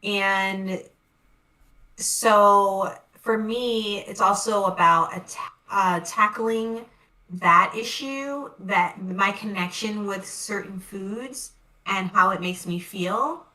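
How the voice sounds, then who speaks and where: A woman in casual conversation, talking reflectively in quick bursts. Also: medium pitch, pushed and bright, distant mic, rough recording. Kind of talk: casual chat.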